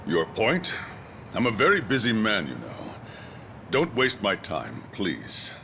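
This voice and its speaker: An actor playing a curt, imperious middle-aged police commissioner in a video game drama, impatient and full of authority. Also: male voice, on the low side, huge pitch swings, takes it slowly, vocal fry, sharp tone, a little hiss or hum, exaggerated voice. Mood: impatient, cold. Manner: clipped, imperious.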